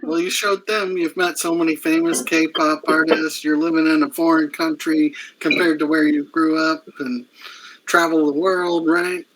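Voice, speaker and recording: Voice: mid-range voice, level tone, normal speed. A podcast co-host in casual chat, making dry teasing quips. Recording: noisy line with clicks.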